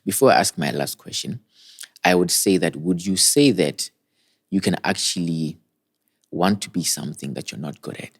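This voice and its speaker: A man's voice: low-pitched, animated, moderate pace, slightly rough. A man hosting a podcast interview, asking casual questions and affirming his guest's answers. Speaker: podcast host.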